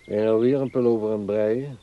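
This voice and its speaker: A man's voice: on the low side, fairly even intonation, quick pace, phone-quality sound, lightly acted. An actor in a radio play as a middle-aged man, grumbling and resigned, wistful about thwarted ambitions.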